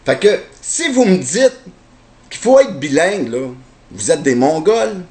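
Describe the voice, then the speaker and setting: Québécois man, lively swooping pitch, slightly high voice, sarcastic ranting monologue. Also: a little slow. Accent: Québécois French. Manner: heated, sarcastic, repetitive rhetorical questions. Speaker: commentator. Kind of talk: opinion monologue to camera.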